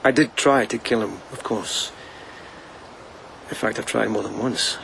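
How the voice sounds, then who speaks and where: Reserved young lieutenant, terse and understated. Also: male voice, on the low side, measured pace, creaky, fairly bright tone, a little hiss or hum, heavily acted. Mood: grim, controlled. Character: reserved, wistful young lieutenant, a widower bent on vengeance. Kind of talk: understated radio drama acting.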